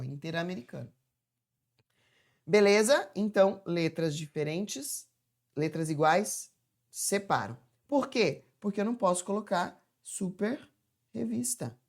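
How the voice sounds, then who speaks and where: A woman teaching a chatty, informal grammar lesson from home, stretching words out slowly to demonstrate how they sound. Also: lowish voice, expressive. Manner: chatty, addresses viewers as "gente". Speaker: Portuguese teacher.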